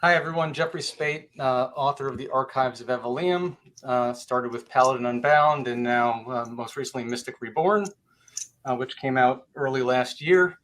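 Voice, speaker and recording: A man's voice: medium pitch, moderate pace, fairly bright tone. A man who writes books, introducing himself casually as a panel guest.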